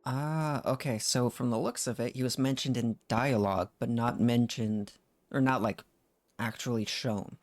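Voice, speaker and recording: A man's voice: medium pitch, steady tone, moderate pace. A man streaming a story game, reacting casually and thinking aloud in a puzzled way. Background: game music.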